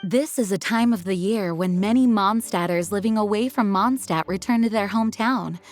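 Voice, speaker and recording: Woman voicing a calm, formal knight leader. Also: mid-range voice, normal speed, faint music behind, lightly acted. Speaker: acting grand master. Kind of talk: game cutscene voice acting.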